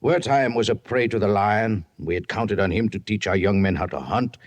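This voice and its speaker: An actor playing an earnest settler leader from Europe in an old-time radio drama, with formal, biblical phrasing. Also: male voice, fairly low pitch, moderate pace. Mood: grave, resolute. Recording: noisy old radio recording.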